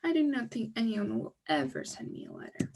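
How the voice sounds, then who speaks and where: A woman reading aloud, voicing a shy, glum, prideful male toad in a gentle storybook manner. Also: on the high side, wildly swooping pitch, a little fast, in a whisper, far from the mic. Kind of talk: reading a children's book aloud.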